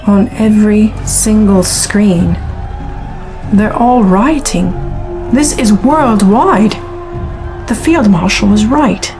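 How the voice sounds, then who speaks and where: A woman's voice: medium pitch, takes it slowly, long pauses, lightly acted. Woman playing a wry young female reporter. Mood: worried, businesslike. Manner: sarcastic, expressive. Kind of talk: science fiction audio drama acting. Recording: music behind the voice.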